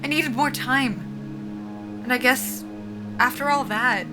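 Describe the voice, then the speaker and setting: An actress playing an anxious, self-deprecating young woman soldier in a sci-fi audio drama, halting and wry in a naturalistic style. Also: sits high, music in the background. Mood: halting, subdued.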